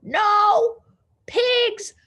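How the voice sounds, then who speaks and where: A woman voicing an angry, bossy older sister in a children's storybook, shouting indignantly. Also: very high voice, drawn-out words, voice pushed up, heavily acted. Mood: furious.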